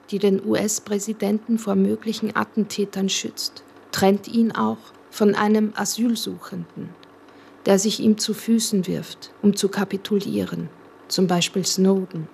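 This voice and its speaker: Female narrator, creaky, matter-of-fact caption reading, clear ambient noise behind the voice. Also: mid-range voice, little pitch movement, unhurried. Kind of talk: scripted narration of image captions.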